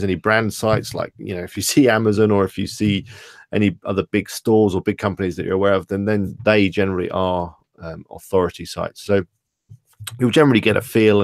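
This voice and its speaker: A man's voice: fairly low pitch, dry recording, clean studio sound. A man hosting a casual livestream Q&A, chatting and reading out viewers' questions. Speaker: livestream host.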